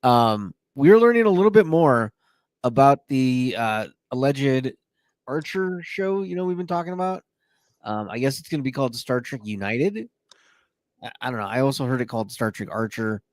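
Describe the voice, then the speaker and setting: A man hosting a podcast, relaxed and a bit jokey, running through news with many fillers. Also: medium pitch, normal speed, no room sound. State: a little woozy. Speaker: podcast host. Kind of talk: casual chat, reading news.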